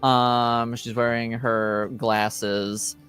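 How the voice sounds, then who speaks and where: A man narrating a tabletop roleplaying game as game master, casual and halting as he searches for words. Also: medium pitch, level tone, fairly bright tone, smooth, soft background music.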